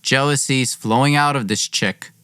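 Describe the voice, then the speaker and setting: A man's voice: medium pitch, moderate pace, pushed and bright, clean tone, no echo, clean studio sound. A young man hosting a podcast, telling a personal story dramatically in an informal, wry way, acting out dialogue. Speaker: podcast host.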